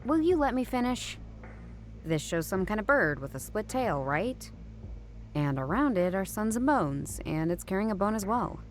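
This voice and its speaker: An actress playing a curt, sardonic detective in a noir video game, talking in a dry, brusque and impatient way. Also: female voice, medium pitch, sing-song, moderate pace, slight background noise, close to an everyday voice. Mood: businesslike.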